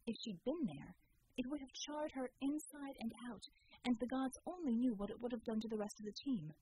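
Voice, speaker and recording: A woman reading fiction as an audiobook narrator, with measured, deliberate, dramatic phrasing. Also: fairly high pitch, dark tone, slight background noise, rough recording.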